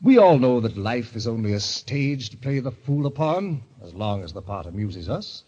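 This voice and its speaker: Sinister, genteel club president, fifties, very husky, swooping delivery, pompous 1940s radio mystery style, dull lo-fi recording. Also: male voice, medium pitch, big pitch swings, dark tone. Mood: grandiose, solemn. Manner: pompous, florid, genteel, deliberate phrasing. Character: suave, sinister club president of fifty, relishing death.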